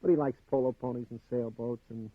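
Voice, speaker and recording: An actor playing a blunt, slangy, streetwise sidekick in a 1950s radio drama. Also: male voice, mid-range voice, fairly even intonation, brisk, dry recording, lightly acted. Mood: matter-of-fact. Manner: slangy, blunt tough-guy delivery. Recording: narrow-band 1950s radio recording.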